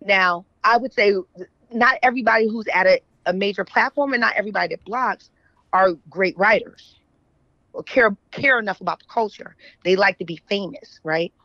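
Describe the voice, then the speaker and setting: A woman's voice: medium pitch, moderate pace, sharp tone, no echo. American woman talking casually, dry slightly muffled sound. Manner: opinionated, frequent checking tags like "right?" and "you know what I'm saying". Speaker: guest, author and journalist. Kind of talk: casual conversational interview talk.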